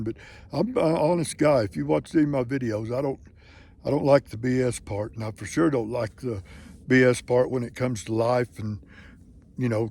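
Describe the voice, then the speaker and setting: A plainspoken man in his late sixties talks straight to his viewers in a folksy, rambling manner. His voice sits at an ordinary middle pitch. The sound is close and dry, with no room around it. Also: no room sound. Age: 60s. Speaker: hiking vlogger. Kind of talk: candid casual talk to camera. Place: living in Colorado.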